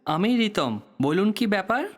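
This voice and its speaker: A man's voice: high-pitched, sing-song, normal speed. A man playing a courteous, guarded and slightly weary doctor in an audio drama, explaining things in a professional manner. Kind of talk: Bengali audio drama acting.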